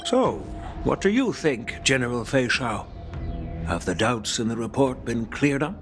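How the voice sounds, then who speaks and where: A man's voice: medium pitch, very animated, unhurried. An actor playing a male military officer in a video game, asking questions in a formal, pointed way. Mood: pointed, inquiring. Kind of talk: video game story dialogue. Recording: background music under the voice.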